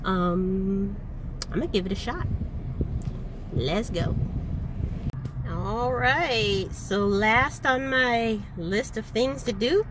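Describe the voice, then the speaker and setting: Woman, 40s, van-life vlogger, slow and chatty, recorded in her vehicle with light noise. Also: mid-range voice. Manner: chatty, unhurried, thinking aloud with fillers. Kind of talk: casual vlog narration. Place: inside a parked van.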